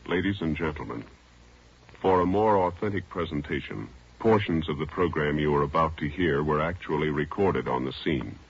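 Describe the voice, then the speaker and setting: A man's voice: extremely low pitch, moderate pace, creaky, a little hiss or hum. A man delivering a formal scripted 1940s radio introduction as program announcer. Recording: dull vintage radio recording with music.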